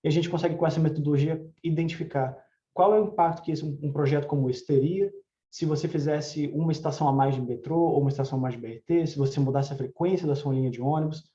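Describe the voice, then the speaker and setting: A man with a Brazilian Portuguese accent explains research in a presentation. His voice is fairly high for a man and very soft and dark in tone. The video-call audio is dull and muffled. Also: steady tone, quick pace. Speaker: researcher presenting at a round table.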